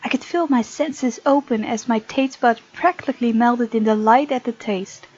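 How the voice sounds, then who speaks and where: A woman hosting a gaming video, reading visual novel text aloud and chatting casually in an expressive way. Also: fairly high pitch, moderate pace, slightly rough, highs cut off.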